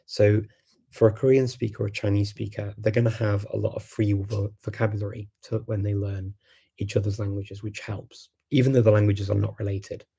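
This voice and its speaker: A low, gravelly male voice with a mellow, slightly husky tone. He is a livestream host whose first language is Macedonian. He chats easily, thinking aloud and restarting his sentences often. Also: little pitch movement, a little fast. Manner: chatty, thinks aloud with many ums and restarts. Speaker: livestream host answering viewer questions. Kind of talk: casual live chat.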